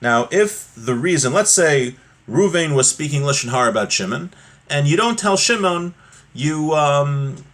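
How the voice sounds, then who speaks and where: A man's voice: on the high side, fairly bright tone. A man giving an informal, explanatory lesson on religious law as a teacher, reading from a text, paraphrasing it in conversational words and checking in as he goes. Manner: conversational, acts out examples, checks in with "right?" and "okay?".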